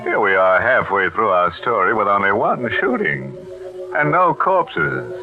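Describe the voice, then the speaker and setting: A man's voice: lowish voice, sing-song, unhurried. A man playing a macabre, teasing, pun-loving horror host in the style of 1940s radio. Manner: mock-sinister, teasing. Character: ghoulish male horror host, macabre and gleefully punning. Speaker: horror show host and narrator. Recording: old muffled radio broadcast, music behind.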